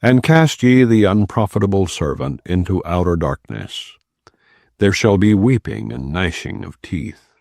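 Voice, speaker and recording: Deep solemn male scripture reader. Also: lively intonation, gravelly, darkish tone, no echo, clean studio sound. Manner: measured, solemn reading. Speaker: Bible reader.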